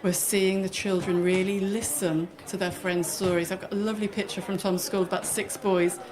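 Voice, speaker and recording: A woman's voice: mid-range voice, little pitch movement, brisk. Earnest woman guest, crowd behind. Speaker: author and workshop leader, guest. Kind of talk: radio interview.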